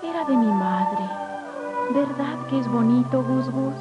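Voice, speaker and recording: A woman playing a sweet, gentle young servant girl, tender and polite, on an old children's story record. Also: high-pitched, a little slow, dull audio. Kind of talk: 1950s children's record acting. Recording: old 78 rpm record with loud music.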